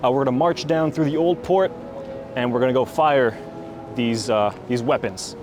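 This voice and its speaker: A man hosting a casual vlog outdoors at a historic site, cracking silly jokes and teasing the people around him. His voice sits at an ordinary middle pitch. Loud music plays behind him the whole time. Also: moderate pace. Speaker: host. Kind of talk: joking on-location vlog chat.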